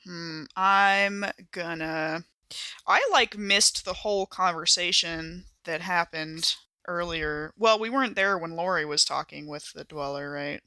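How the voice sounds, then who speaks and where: A woman chats casually as a player in a tabletop game, working out her choices aloud. Her voice is bright and forward, recorded dry and close. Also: on the low side, a little slow, no room sound. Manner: informal, thinking aloud.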